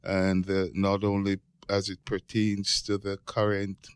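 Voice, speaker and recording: Jamaican guy, lowish voice, professor type explaining stuff on a podcast, says "right?" a lot, dry sound. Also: monotone, a little slow, clean tone, dry recording. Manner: often checks in with "right?". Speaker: guest expert, geology professor. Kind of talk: conversational explaining in a podcast interview.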